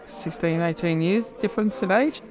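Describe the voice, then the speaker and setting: A man's voice: sits high, moderate pace, crowd noise. A man in his late thirties, a choir member, speaking plainly and reflectively in an interview. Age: 30s.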